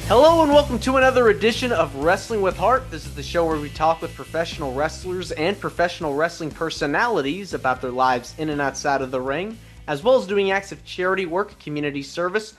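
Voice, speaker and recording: A man's voice: fairly high pitch, a little fast, hardly any pauses, soft background music. A man hosting a podcast interview, upbeat and welcoming. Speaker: podcast host.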